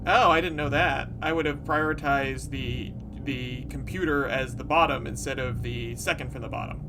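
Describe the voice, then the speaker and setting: A man's voice: mid-range voice, normal speed, background noise. A man playing a tabletop game, chatting casually and improvising in character with playful, dry humor. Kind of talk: casual, joking game chat.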